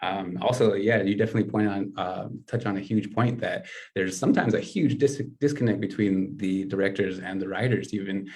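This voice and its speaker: A man hosting a podcast, speaking in a measured, earnest way with many "uh" fillers. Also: low-pitched, a little mellow. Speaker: podcast host.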